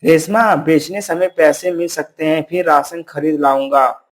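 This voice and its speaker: Cartoon hunter, male actor: somewhat high-pitched, stubborn, complaining, self-justifying villager. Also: fairly even intonation, unhurried, natural voice.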